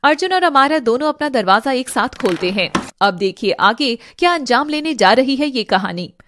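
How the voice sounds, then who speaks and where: A woman's voice: high-pitched, lively intonation, normal speed, background noise. A woman narrator reading a scripted story in a dramatic storytelling style.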